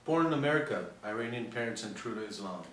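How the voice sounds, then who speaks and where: A man's voice: on the low side, animated, quick pace, a bit bright. A man playing a cool, sly undercover pilot in a screenplay read, speaking in a clipped, insinuating, matter-of-fact way. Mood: guarded, probing. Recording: echoey room, distant mic, faint noise.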